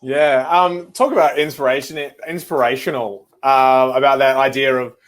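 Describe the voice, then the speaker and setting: A man's voice: mid-range voice, fairly even intonation, normal speed, fairly bright tone. A man with an Australian accent hosting a children's show, chatting casually with a guest. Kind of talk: casual interview chat.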